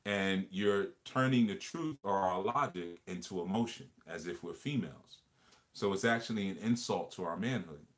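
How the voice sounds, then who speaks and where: A man with a fairly low voice leads a relaxed livestream conversation. He talks in an earnest, reflective way, circling back over words and phrases as he works through an idea. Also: normal speed. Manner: earnest, reflective, repeats phrases while explaining. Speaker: livestream host. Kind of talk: casual livestream discussion.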